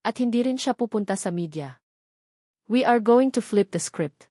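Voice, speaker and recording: A woman's voice: fairly high pitch, expressive, normal speed, mellow, dry recording. Controlled, authoritative male politician, read by a woman narrator, firm and direct, recorded dry, for audio romance drama. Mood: resolute. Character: calm, steely male politician with quiet authority.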